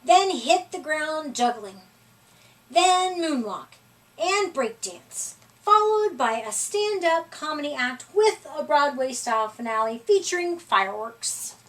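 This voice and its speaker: Woman voicing an eager, high-pitched little boy woodpecker. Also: lively intonation, slow, fairly bright tone, heavily acted. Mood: confident, showy. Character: eager, determined little male woodpecker in a storybook. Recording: distant microphone.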